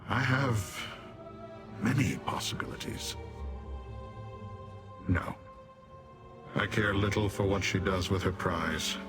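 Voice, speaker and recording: Deep, proud immortal warrior. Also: male voice, expressive, slow pace, long pauses, over music, heavily acted. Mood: dismissive. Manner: formal, declamatory. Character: proud immortal male Daedra warrior, grave and stern. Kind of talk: scripted fantasy game acting.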